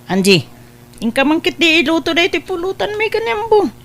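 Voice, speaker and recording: Woman playing a high, swooping, hard-drinking elder sister. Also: big pitch swings, moderate pace, fairly bright tone, exaggerated voice. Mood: playful. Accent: Ilocano. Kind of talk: Ilocano radio comedy drama acting.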